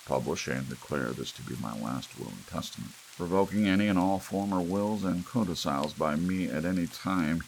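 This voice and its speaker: A bossy, cranky ancient patriarch from a storybook, acted out by a male narrator, barking and pompous. The voice is gravelly and pushed louder and higher than a murmur, with rain behind it. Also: a little hiss or hum, low-quality recording. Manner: barking, portentous. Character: domineering ancient family patriarch, cranky and self-important old man.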